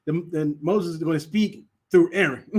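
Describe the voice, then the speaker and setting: A man's voice: on the high side, unhurried, darkish tone. Stuttering man teaching scripture. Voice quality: stutters, repeats words. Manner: laughs often, talks to the class directly. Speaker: teacher leading a Sabbath class. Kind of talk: casual Bible teaching.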